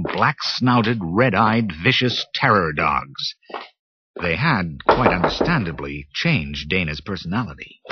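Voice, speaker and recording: Low, animated male storybook narrator. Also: slight background noise. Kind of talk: dramatic scripted children's read-along narration. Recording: dull 1980s cassette. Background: music.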